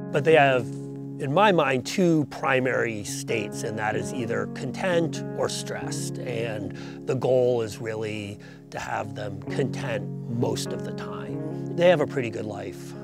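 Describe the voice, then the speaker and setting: Gravelly-voiced farmer, plainspoken. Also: male voice, mid-range voice, lively intonation, takes it slowly, over loud music. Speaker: dairy farmer. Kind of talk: documentary interview. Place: outdoors by a stone barn.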